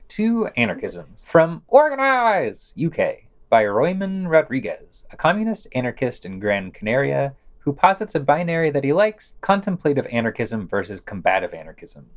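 Sarcastic man, very animated pitch. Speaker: podcast co-host reading news. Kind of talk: scripted news digest with wry commentary.

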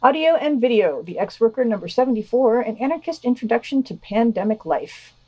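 A woman's voice: fairly high pitch, lively intonation, a little fast, warm tone, breathy edge, no echo, low-quality recording. A woman co-hosting a podcast, reading scripted news and quotations with dry, understated commentary.

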